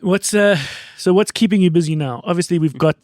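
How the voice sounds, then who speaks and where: A man from South Africa hosting a casual podcast interview, chatty and quick to agree. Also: high-pitched, a little mellow, dry recording, clean studio sound. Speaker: podcast host.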